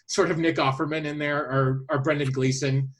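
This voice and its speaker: A man co-hosting a podcast, talking casually and haltingly as he thinks his way through his picks aloud. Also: on the high side, flat delivery. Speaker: podcast host. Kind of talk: casual chat, presenting a list of casting picks.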